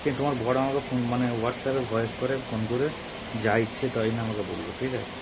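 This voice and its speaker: Fast-talking Bengali man, very noisy recording. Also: steady tone, gravelly. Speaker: prank caller hosting own channel. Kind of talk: casual phone conversation for a prank video. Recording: very noisy, loud hiss and traffic rumble.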